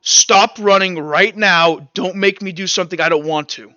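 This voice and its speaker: A man's voice: sits high, normal speed, pushed and bright, clean tone, no room sound, dull audio, soft background music. A man voicing a stern male guard who barks orders. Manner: commanding, barking orders.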